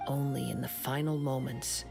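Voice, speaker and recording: Male actor for young wandering samurai in a game: soft, thoughtful, measured, mid pitch with creak, music behind. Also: level tone, natural voice. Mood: somber, reflective. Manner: soft-spoken, reflective, measured. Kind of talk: dramatic video game voice acting. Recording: music under the voice.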